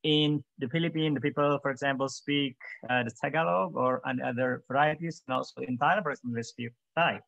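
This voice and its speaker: A man's voice: medium pitch, gravelly, fairly bright tone, no echo, muffled recording. Middle-aged man lecturing on a call. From Indonesia. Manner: measured, repeats "for example" often, pauses with "uh". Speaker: webinar speaker. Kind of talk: academic presentation over video call. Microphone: video call.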